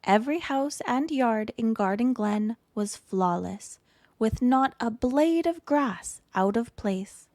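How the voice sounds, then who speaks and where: A woman reading a children's picture book aloud in an expressive, deliberate storytelling manner. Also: a little high, no room sound, studio quality. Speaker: storytime reader.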